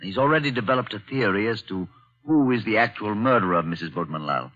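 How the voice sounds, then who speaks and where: An actor playing an eager, talkative colonial man who hosts a visitor, in a 1940s radio drama, quick to jump to conclusions. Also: on the low side, expressive, fairly bright tone. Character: chatty, excitable colonial man, a keen host. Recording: dull lo-fi 1940s radio recording.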